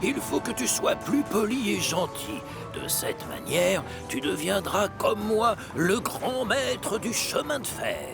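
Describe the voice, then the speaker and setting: A bossy, boastful male locomotive from a children's cartoon. His gravelly voice drags very slowly and sits high, swinging wildly in pitch. Cartoon music plays loudly underneath. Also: wildly swooping pitch, exaggerated voice. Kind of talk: French cartoon dub.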